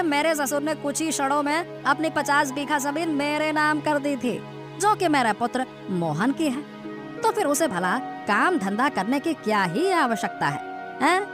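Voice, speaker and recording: A woman's voice: sits high, lively intonation, a little slow, over music. A woman voicing an idle village man, a father of a grown son, in an emphatic cartoon-story style. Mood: boastful.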